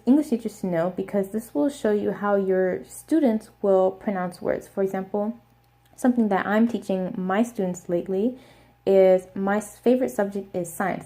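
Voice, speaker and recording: A young woman with an American accent explaining pronunciation step by step in a casual video lesson, repeating words slowly. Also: mid-range voice, dark tone. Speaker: language teacher.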